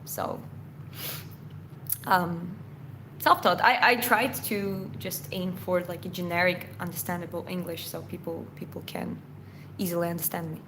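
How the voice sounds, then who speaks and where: A woman's voice: on the low side, steady tone, a little slow, noisy background. A Georgian woman with an Eastern European accent, whose first language is Georgian, hosting a casual chat Q&A and answering viewer questions in a loose, rambling way with lots of fillers and restarts.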